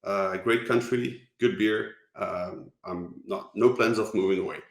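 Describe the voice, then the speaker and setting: A man with a Dutch accent gives an online conference talk in a fairly low voice. He stops and restarts often, filling gaps with ums. His voice carries the echo of the room around him. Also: steady tone, gravelly. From Netherlands. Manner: halting, many fillers and restarts. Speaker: conference speaker.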